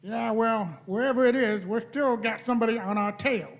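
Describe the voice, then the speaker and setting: A man's voice: very high voice, moderate pace, gravelly. A man playing a brash, chummy young space traveler in a radio play, with slangy, colloquial talk. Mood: wary. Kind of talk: radio play performed by amateur players.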